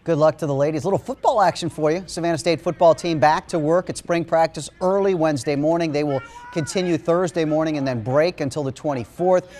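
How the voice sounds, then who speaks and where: High-voiced American male sportscaster. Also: quick pace, few pauses, darkish tone, slight background noise. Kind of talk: TV sportscast commentary, scripted narration over highlights.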